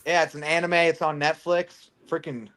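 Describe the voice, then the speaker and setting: A man's voice: fairly high pitch, flat delivery, moderate pace, a bit bright. A man at home on a group call, talking in a laid-back, profane way and laughing often. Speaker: call participant. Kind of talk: casual group chat.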